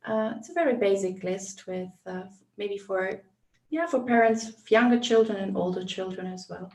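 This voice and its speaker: A woman co-hosting a live video chat, talking with pauses and fillers. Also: mid-range voice, a little mellow. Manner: hesitant with fillers. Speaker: co-host. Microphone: video call. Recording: echoey room, far from mic.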